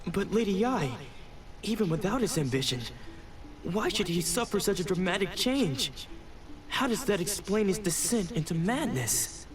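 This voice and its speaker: A man's voice: high voice, sing-song, normal speed, distant mic, crowd noise. An actor playing an earnest, bewildered young male disciple in a video game, questioning and urgent.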